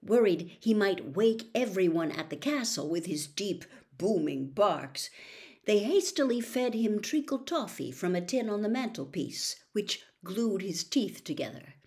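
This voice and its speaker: A woman's voice: medium pitch, very animated, unhurried, darkish tone. A woman reading a children's fantasy novel aloud as a storybook narrator. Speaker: audiobook reader.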